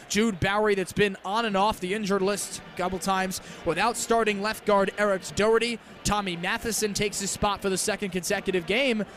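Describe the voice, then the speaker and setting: A young man calling American football live on the radio as a play-by-play announcer, with crisp, detailed delivery. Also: high voice, fairly bright tone. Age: young adult. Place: stadium game broadcast. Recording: live outdoor broadcast with background noise.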